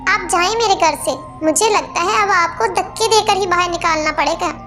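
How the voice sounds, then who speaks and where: A woman's voice: very high voice, moderate pace, pushed and bright. A woman voicing a grown bird son in a children's cartoon, with a blunt, declarative way of talking. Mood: cold, defiant. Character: grown bird son, dutiful at first, then ungrateful. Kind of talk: Hindi children's cartoon dubbing. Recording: echoey hall reverb, music underneath.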